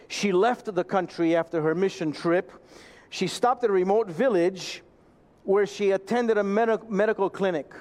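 High-voiced preaching man from Romania. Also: a little mellow. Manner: earnest, emphatic, slows down for key phrases. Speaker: pastor. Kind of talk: sermon.

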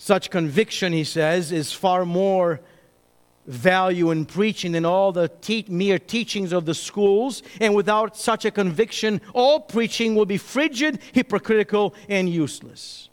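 A pastor preaching a sermon, emphatic, moving between loud bursts and hushed asides. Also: male voice, sits high, a little slow. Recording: reverberant hall.

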